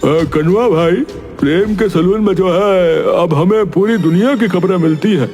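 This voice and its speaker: A man's voice: high voice, moderate pace, darkish tone, faint music behind, close to an everyday voice. A man voicing a chatty, colloquial village barbershop customer in a cartoon story. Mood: matter-of-fact. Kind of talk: Hindi cartoon moral-story acting.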